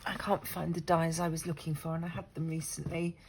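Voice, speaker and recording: A woman's voice: deep voice, little pitch movement, fairly quick, noisy background. An older woman chatting casually while crafting, stuffy and congested from a lingering cold, rambling and self-deprecating. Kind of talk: casual crafting tutorial chat. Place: indoors at a craft table. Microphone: overhead camera above craft mat.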